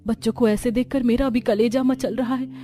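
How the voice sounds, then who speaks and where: A woman's voice: on the high side, steady tone, a little fast, mellow, close to an everyday voice. A woman voicing a tender, anxious, sorrowful poor mother in a village cartoon. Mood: distressed.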